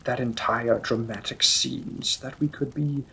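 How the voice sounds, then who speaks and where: A man performs as a demon king in a romantic fantasy audio drama, possessive and devoted. His voice is bright and edged with gravel, often sinking into breathy whispers. He speaks slowly, savoring each phrase, with some background noise behind him. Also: mid-range voice, fairly even intonation, low-quality recording. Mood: tender, concerned. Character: menacing, possessive demon king, secretly a tender lover.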